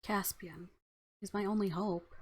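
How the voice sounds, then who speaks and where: A woman's voice: medium pitch, fairly even intonation, measured pace, slightly rough. A woman hosting a let's play, reading story text and adding playful, casual asides. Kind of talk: narration with casual commentary.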